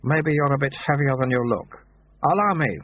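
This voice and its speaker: A man's voice: medium pitch, moderate pace, no room sound, voice pushed up, natural voice. A man voicing a mysterious recruiter from a secret order of monks, smug and patiently teasing. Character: mysterious dry-witted male recruiter monk. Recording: tinny phone-like sound.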